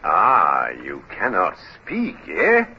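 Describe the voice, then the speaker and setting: Paranoid sea captain, wildly swinging voice. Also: male voice, sits low, huge pitch swings, extremely slow, a little hiss or hum, full character voice. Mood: accusing, furious. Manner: menacing, swings from hushed insinuation to barking. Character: paranoid, tyrannical older male navy captain. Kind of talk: old-time radio drama acting. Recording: dull, lo-fi old radio recording.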